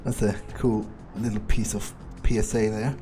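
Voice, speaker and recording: A man's voice: lowish voice, flat delivery, normal speed, dark tone, faint music behind, close to an everyday voice. A man roleplaying a callous, amused vampire in a video game, musing in a drawling, self-satisfied way. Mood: musing, disdainful. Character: smug, amused, callous male vampire schemer. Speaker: gamer playing in character.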